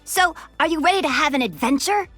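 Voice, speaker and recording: Game role: keen young female adventurer, excitable, high voice, very animated. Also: brisk, dry recording, faint music behind. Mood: eager. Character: eager, impatient young female hero.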